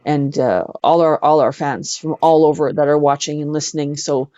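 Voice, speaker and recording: A woman's voice: sits low, steady tone, normal speed, warm tone, dry recording. A woman chatting casually as an interview guest, effusive and earnest, repeating words for emphasis over a video call. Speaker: interview guest, singer-songwriter. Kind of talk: casual chat, personal storytelling. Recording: dull, lo-fi online call audio.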